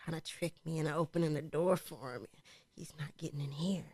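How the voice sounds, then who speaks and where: A woman's voice: deep voice, fairly even intonation, brisk. A woman acting a distraught, stubborn, frightened woman in an audio horror drama, stammering and repeating herself. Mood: hushed, paranoid. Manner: stammering, repetitive, swinging between shouts and whispers. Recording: clearly noisy background.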